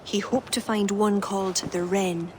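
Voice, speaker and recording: A woman's voice: mid-range voice, fairly even intonation, measured pace, a little mellow, close to an everyday voice. An actress playing a loyal young woman guiding a friend, with an earnest, urgent way of talking. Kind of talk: video game dialogue. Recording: clear background noise.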